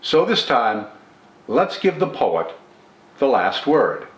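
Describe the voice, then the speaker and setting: An older man presenting poetry in a formal, measured, scripted style, enunciating carefully. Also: mid-range voice, big pitch swings. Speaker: host of a poetry reading channel. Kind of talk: scripted literary commentary and poetry recitation. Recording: roomy indoor echo.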